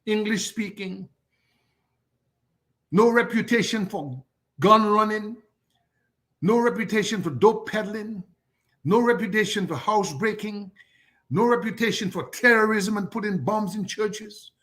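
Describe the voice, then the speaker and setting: A man's voice: sits high, a little slow, long pauses, a bit bright. An older man from Dominica, speaking as a guest on a political talk show in a fiery way, piling up repeated rhetorical lists. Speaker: guest commentator.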